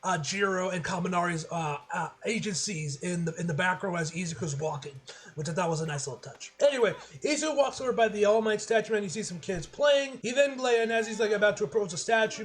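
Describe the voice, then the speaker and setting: A man with a high voice talks quickly through an episode review. He sounds like an excitable fan, rambling casually in loose slang with plenty of swearing. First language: English. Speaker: anime reviewer.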